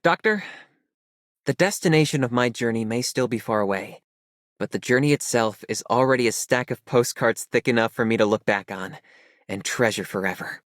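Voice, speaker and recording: An actor playing a sincere, wistful traveler in a scripted game monologue. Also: male voice, mid-range voice, normal speed, dry recording, clean studio sound, lightly acted. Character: sincere, wistful male traveler seeking new sights.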